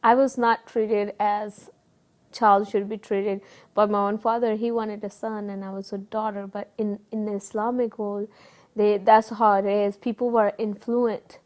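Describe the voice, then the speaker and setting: A woman's voice: mid-range voice, level tone, a little slow, darkish tone. Pakistani woman, earnest and hesitant. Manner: earnest, hesitant, many fillers and restarts. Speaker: guest telling her story. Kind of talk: personal testimony.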